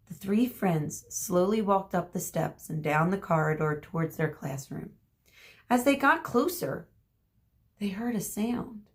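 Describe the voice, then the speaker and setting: A woman reading a children's story aloud expressively as a teacher, pausing to explain words and ask questions. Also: on the high side.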